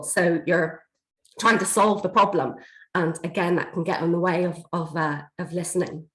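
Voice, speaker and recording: A woman, slightly hoarse from a chest infection, giving a steady, explanatory workshop talk on a video call, with frequent fillers. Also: lowish voice. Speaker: learning and development trainer. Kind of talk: online workshop presentation. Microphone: computer mic on a video call. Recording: echoey room over a video call.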